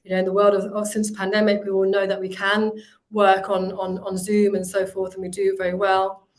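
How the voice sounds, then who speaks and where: A woman's voice: medium pitch, monotone, fairly quick. A woman, presenting her recruitment company on a video call, talking freely with many fillers and restarts.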